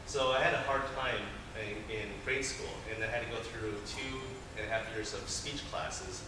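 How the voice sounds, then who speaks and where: Young man from Taiwan, Chinese as first language, chatty self-mocking presenter, mid pitch, far mic in a boomy conference hall. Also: fairly even intonation, moderate pace, over music. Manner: joking, self-deprecating, many fillers. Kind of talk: casual conference talk. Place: large conference room. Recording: echoey hall from far off with noise.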